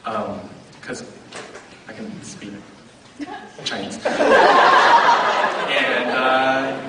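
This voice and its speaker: A young man tells his story with energy, acting out the lines and laughing along. He is far from the mic in an echoey hall, under loud background noise. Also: mid-range voice, very slow. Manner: lively storytelling, acts out dialogue with laughs. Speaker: conference attendee giving testimony. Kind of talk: personal testimony to an audience.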